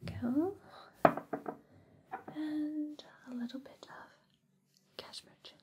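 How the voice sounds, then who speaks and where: A woman hosting an ASMR personal-attention roleplay in a breathy, soothing whisper, intimate and unhurried, close on a binaural microphone. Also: high-pitched, fairly even intonation, highs cut off, noisy background. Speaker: ASMR host.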